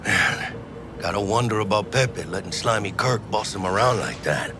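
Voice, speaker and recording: A man's voice: lowish voice, lively intonation, moderate pace, over music, natural voice. A male actor voicing a brash, big-hearted street mercenary in a video game, with a Mexican American accent and slangy talk sprinkled with Spanish. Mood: brash, scornful. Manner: slangy, swaggering, Spanish words mixed in.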